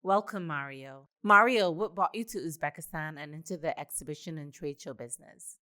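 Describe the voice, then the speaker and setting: A woman's voice: sits low, a little fast, sharp tone. A woman hosting a podcast, reading polished interview questions in an upbeat manner. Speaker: podcast host.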